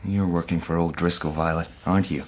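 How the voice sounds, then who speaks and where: A man playing an earnest young gentleman working as a shop clerk in a radio mystery, polite and boyish, with a husky voice. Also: deep voice, steady tone, a little fast, a little hiss or hum, natural voice. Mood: earnest, indignant. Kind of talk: period radio mystery drama acting.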